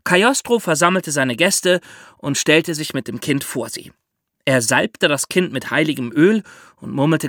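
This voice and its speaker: Guy podcast host telling history, bright voice, lots of up and down, chatty explainer. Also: fairly high pitch, dry recording, clean studio sound. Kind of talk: lively history storytelling for a podcast.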